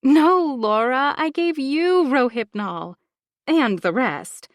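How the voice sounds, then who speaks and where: A woman doing a mocking villainess who drags out her words in a slow, sing-song taunt, pitched a little higher and louder than the narration. The sound is close and dry. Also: sing-song, no room sound, heavily acted. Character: coolly menacing woman who drugs people, mocking.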